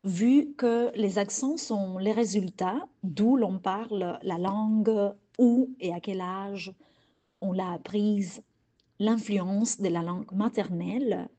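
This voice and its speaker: A woman French teacher from Cuba with a Cuban accent, Spanish her first language, speaking in a calm, deliberate and earnest way. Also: mid-range voice. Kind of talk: prepared personal message.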